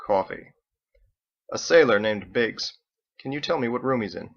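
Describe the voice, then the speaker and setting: A man's voice: mid-range voice, lively intonation, moderate pace, muffled recording. A man reading the part of a dour grown son, terse, restrained and formally polite, in an audiobook. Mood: curt.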